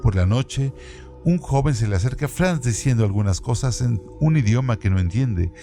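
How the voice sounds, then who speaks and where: A man presenting a radio program, reading literary trivia from a script in a measured, even way. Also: medium pitch. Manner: measured, reads evenly from a script. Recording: music bed under voice. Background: background music.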